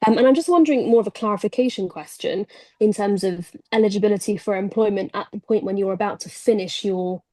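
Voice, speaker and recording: A woman's voice: mid-range voice, fast talker, mellow, no room sound. Brief: a young female trainee doctor, articulate and precise, with a dry, close sound. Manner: articulate, long clarifying sentences. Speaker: trainee doctor asking a question. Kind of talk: webinar question from the audience.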